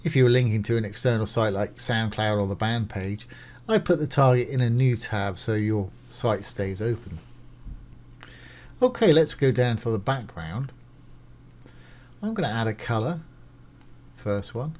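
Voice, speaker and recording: A man's voice: mid-range voice, lively intonation, moderate pace, pauses often, darkish tone, slight background noise. A man presenting a casual step-by-step screencast tutorial, informal and reassuring as he explains each step while doing it. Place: voice-over of a screen recording.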